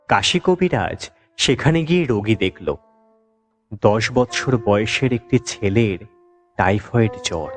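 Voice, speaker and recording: Slow, expressive male story narrator. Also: medium pitch. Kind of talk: scripted audio story narration. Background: background music.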